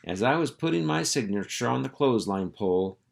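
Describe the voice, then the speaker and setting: A man reading a children's storybook aloud in an animated, folksy, expressive storyteller's manner. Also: mid-range voice, a little fast, vocal fry. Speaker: children's book reader, school superintendent.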